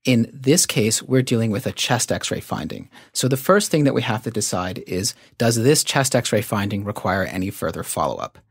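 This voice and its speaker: A man with a fairly low voice speaks as an expert guest in a medical interview. He explains methodically and didactically in measured phrases, and the sound is dry with little room echo. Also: expressive, husky.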